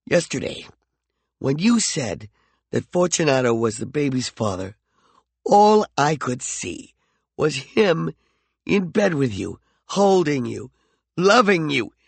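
A man's voice: on the high side, sing-song, takes it slowly, gravelly, husky, no room sound, full character voice. A male narrator voicing a jealous, volatile man who swings from mockery to heated pleading. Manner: heated, mocking and intense.

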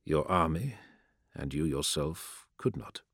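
A man voicing an ageless emperor who speaks with quiet, detached patience. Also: rumbling low, lively intonation, takes it slowly, gravelly, husky, no room sound, clean studio sound, voice pushed down, exaggerated voice. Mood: cold. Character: ageless male emperor, calm, cold and commanding.

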